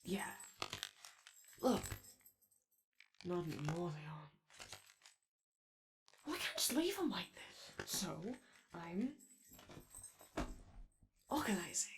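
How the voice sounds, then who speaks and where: Casting: female performer as gentle, childlike robot daycare helper, nervous and eager, lowish creaky voice, very lively intonation, halting delivery for ASMR roleplay. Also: slight background noise, exaggerated voice. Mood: fussy, polite. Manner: hesitant, rambling, halting speech. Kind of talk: improvised-sounding ASMR character roleplay.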